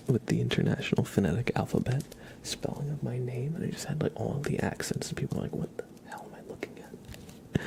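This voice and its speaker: A man whispering softly and breathily close to the mic as he hosts an ASMR livestream, chatting casually and gently repeating words. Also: mid-range voice, creaky. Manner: soft, repeats words and names slowly.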